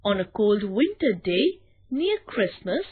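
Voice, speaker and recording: Slow, lively woman storyteller, slightly muffled. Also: mid-range voice, breathy edge. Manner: deliberate, expressive storytelling. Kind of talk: scripted children's bedtime story narration.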